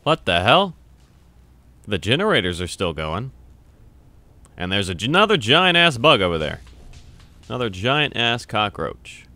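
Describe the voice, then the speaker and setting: A man's voice: on the low side, very animated, a little slow, pauses often, no echo. A young man playing a video game, chatting casually, swearing and yelping when startled, and reading on-screen text aloud. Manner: loud startled yelps and swearing. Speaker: gamer playing on camera. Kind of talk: casual let's-play commentary.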